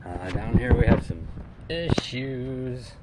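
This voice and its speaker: A man giving an unscripted, casual vlog walkaround, talking in a laid-back, halting, wryly resigned way. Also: medium pitch. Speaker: vlogger showing his own vehicle. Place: in and around an old SUV. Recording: noisy, vehicle ambience.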